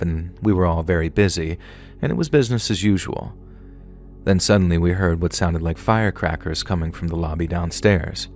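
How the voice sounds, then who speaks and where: A man narrating true horror stories submitted by viewers, in a measured, unhurried way. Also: low-pitched. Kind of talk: scripted horror story narration.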